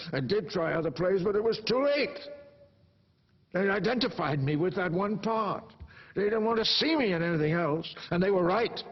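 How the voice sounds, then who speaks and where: A bitter, proud old actor father, performed by a man in a stage drama monologue. The voice is heavily husky with a creaky, gravelly edge. He is theatrical and regretful, moving between hushed and declamatory delivery, on a slightly muffled recording. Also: on the low side, exaggerated voice. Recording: dull, lo-fi sound.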